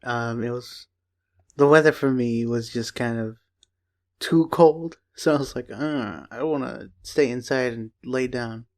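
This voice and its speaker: A man's voice: mid-range voice, measured pace. A young man co-hosting a podcast, chatting in a loose, rambling way with plenty of swearing. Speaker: podcast host. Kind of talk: casual chat.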